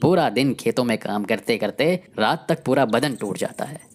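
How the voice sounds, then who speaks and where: A woman voicing a cocky, bossy village man in an animated story, speaking in an emphatic, colloquial, scolding way. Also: rumbling low, moderate pace. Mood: scheming. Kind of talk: animated Hindi moral story dialogue. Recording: music behind the voice.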